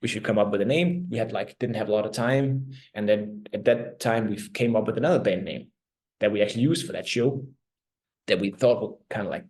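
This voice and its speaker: A man in his thirties, a native Danish speaker with a Danish accent, giving reflective interview answers as a band's vocalist, often halting and searching for words. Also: fairly low pitch, a little mellow. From Denmark. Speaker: band vocalist and lyricist.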